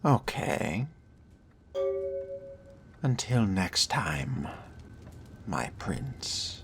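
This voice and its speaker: A man voicing a wry, scholarly fledgling vampire in video game role-play, measured, theatrical and musing in delivery. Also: on the low side, sing-song, noisy background, exaggerated voice. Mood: deferential. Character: cultured, wry male fledgling vampire, scholarly and self-possessed. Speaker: role-playing gamer. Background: music.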